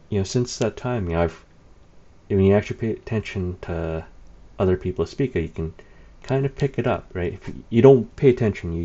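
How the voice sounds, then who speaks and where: A man's voice: low voice, dark tone. A man casually telling rambling anecdotes about old coworkers, full of fillers and wry laughs. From Canada. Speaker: storyteller. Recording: dull lo-fi recording.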